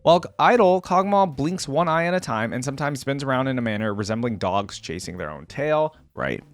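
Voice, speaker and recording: A man's voice: mid-range voice, expressive, fairly quick. A young man hosting a casual reaction video, reading a wiki aloud and stopping to react and chuckle. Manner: chatty and musing, breaks off reading to react, chuckles.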